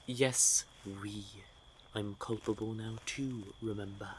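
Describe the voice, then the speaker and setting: Slow, teasing low-voiced vampire played by a man. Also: fairly even intonation, slight background noise, poor audio. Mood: smug flirting. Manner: teasing, drawling, sardonic. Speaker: voice actor in an audio roleplay. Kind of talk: intimate scripted audio roleplay addressed to the listener. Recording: added ambient sound effects behind voice.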